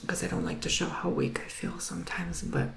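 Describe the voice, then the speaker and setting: A man giving a tarot reading in a soft whisper, earnest and emotional, rambling as he encourages the listener. The recording has a roomy echo. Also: brisk, slight background noise. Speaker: tarot reader.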